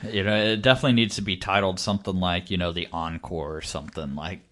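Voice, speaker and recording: A man's voice: low-pitched, fairly even intonation, quick pace, a bit bright. A man co-hosting a horror film podcast, chatting informally in a meandering way with lots of "you know". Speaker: podcast co-host reviewing horror films. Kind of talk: casual chat, film commentary.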